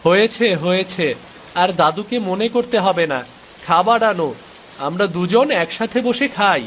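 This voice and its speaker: A man's voice: high voice, moderate pace, a bit bright, slight background noise. A man voicing a good-natured, humble young village shop boy in a cartoon, eager and affectionate. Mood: lively, hungry. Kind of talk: cartoon voice acting. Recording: music under the voice.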